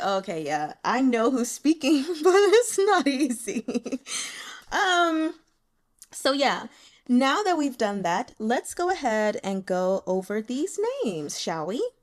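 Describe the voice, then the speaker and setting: A woman giving casual, chatty commentary as a host and reader, in an informal and expressive manner. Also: on the high side.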